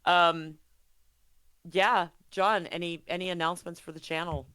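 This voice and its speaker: A woman's voice: on the low side, steady tone, unhurried, a bit bright, clear, resonant tone, dry recording. A woman running a tabletop roleplaying stream as game master, chatting casually and praising her players in an encouraging way.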